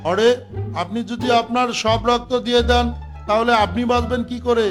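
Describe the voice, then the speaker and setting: An extremely high-pitched cartoon doctor played by a man, brisk and impatient. He explains emphatically in an even, narrow melody. Music is clearly audible behind. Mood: exasperated. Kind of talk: children's cartoon voice acting.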